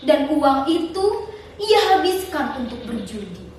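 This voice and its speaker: A woman's voice: high voice, very animated, a little slow. A teenage young woman with a Central Kalimantan Indonesian accent, telling a folktale theatrically in a dramatic, declamatory contest style. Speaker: storytelling contestant. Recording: distant echoey room with faint noise.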